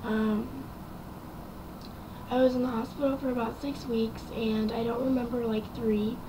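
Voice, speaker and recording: A young woman with a raspy, quiet voice, telling her personal story to camera in a rambling way with many ums and likes. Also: on the high side, level tone, measured pace, background noise. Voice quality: raspy and quiet from vocal cord damage. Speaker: vlogger.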